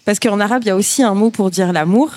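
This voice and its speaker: Woman from France, halting casual talk. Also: mid-range voice, a little mellow. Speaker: guest musician. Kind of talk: casual discussion.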